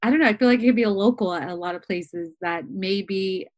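A woman guest on a podcast, talking in a lively, casual way, restarting her sentences often. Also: medium pitch, fairly quick, no room sound. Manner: animated, restarts sentences, many fillers. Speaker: travel journalist guest.

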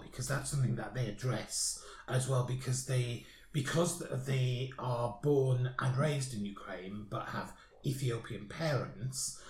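Echoey mid-pitched man, thoughtful commentary. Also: darkish tone. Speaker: podcast panelist. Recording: echoey room sound.

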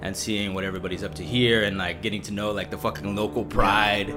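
A man's voice: fairly low pitch, flat delivery, quick pace, music in the background. An American man hosting a podcast, chatting casually in informal, slangy language with plenty of swearing. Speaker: podcast host. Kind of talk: casual chat interview.

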